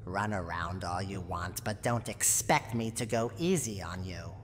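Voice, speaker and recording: A woman voicing a gruff, teasing old granny with a secretly tender side, in a theatrical roleplay ASMR monologue. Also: very deep voice, measured pace. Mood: teasing, protective. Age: older. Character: gruff, teasing tsundere granny guarding her haunted house. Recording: long reverb, clear background noise.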